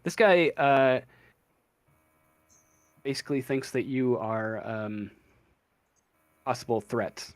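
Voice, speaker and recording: A man running a tabletop game, narrating off the cuff and thinking aloud in a halting way. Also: medium pitch, dry recording. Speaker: game master. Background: faint music.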